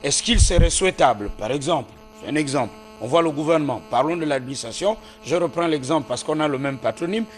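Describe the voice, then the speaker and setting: A man's voice: fairly high pitch, expressive, fast, few pauses, faint music behind. A man hosting a televised political debate in a studio, probing and interrupting his guests with questions. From Gabon. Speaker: TV debate host.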